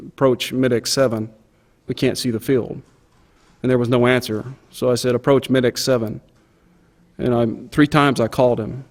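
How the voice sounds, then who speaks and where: A middle-aged man, a pilot, recounting an experience informally in a plain-spoken, vivid way. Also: medium pitch. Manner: plain-spoken, vivid, acts out quoted radio calls. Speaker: airline pilot giving a recollection. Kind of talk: informal spoken recollection of an event.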